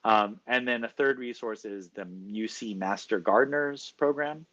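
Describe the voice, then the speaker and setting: A man answering interview questions as a professor, explaining at length in a measured way with frequent fillers. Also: mid-range voice, a bit bright, highs cut off.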